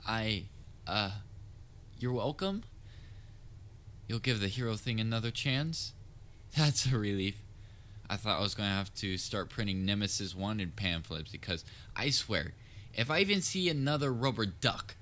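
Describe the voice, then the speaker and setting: A man playing a theatrical supervillain who is soft-hearted and anxiously fond of his heroic nemesis, in an earnest, halting roleplay delivery with wry banter. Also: lowish voice, a little hiss or hum. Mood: relieved, awkward. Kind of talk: comfort roleplay audio acting addressed to listener.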